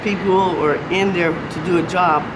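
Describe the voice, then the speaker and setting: A woman in her fifties from the San Francisco Bay Area, talking earnestly and haltingly, with many fillers, in a street interview. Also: fairly low pitch, fairly even intonation. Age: 50s. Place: city street outdoors. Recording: loud street traffic noise.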